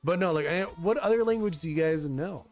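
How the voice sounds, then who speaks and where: Chatty man rambling over soft music. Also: a little high, a little fast. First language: Spanish. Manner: rambling, filler-heavy, reads chat aloud. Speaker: streamer designing a card game. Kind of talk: casual live-stream chat.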